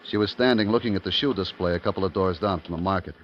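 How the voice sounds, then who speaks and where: A man's voice: deep voice, fast talker, a little hiss or hum, lightly acted. An actor playing a nervous market clerk who tells his own story in a hesitant, colloquial, brooding way in a 1950s radio thriller. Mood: brooding recollection. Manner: hesitant, colloquial, brooding first-person telling. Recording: muffled 1950s radio recording, loud music.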